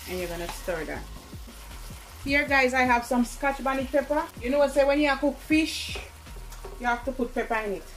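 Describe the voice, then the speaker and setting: A woman with a Jamaican accent talks her viewers through a home-cooked meal, chatty and informal, tossing in asides to them. Her voice sits a little high. Clear sizzling and stirring from the stove run under her words. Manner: chatty, keeps calling to viewers. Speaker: cooking vlogger. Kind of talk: casual cooking demonstration. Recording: sizzling pan and kitchen noise behind.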